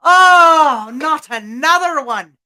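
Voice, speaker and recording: Grumpy old-tale leprechaun done by a lady storyteller, high bright voice, scolding and fed up. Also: lively intonation, slow pace, heavily acted. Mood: frustrated. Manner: exasperated muttering and scolding in folksy dialect. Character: grumpy, weary leprechaun cobbler, scolding greedy humans.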